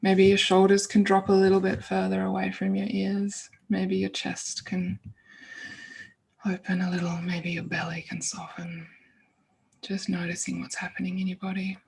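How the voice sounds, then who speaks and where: A woman guides a meditation in an informal, soothing manner. Her voice is husky and breathy, with plenty of creak at the edges. She speaks without hurry and often lets phrases fade to a near-whisper. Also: mid-range voice, completely flat delivery, moderate pace, darkish tone.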